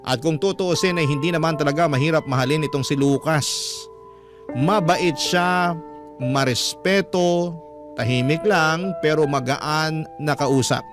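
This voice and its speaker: A man's voice: a little high, unhurried, close to an everyday voice. A man with a Filipino accent reading a smitten young woman's love story as scripted radio narration. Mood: smitten, confiding. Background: music.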